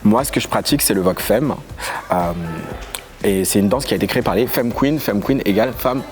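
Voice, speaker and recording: A young man from Paris with a rather low voice, chatting as an interview guest. He talks in a playful, lively way, tossing in quick asides. Faint music sits under him. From Paris, of Congolese origin. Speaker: guest, voguing dancer and house leader. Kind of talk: casual interview chat.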